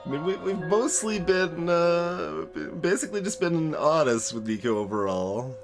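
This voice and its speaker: A man doing casual live game commentary as a streamer, thinking aloud in a halting, wry way. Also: on the high side, expressive. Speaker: let's play streamer. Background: game music.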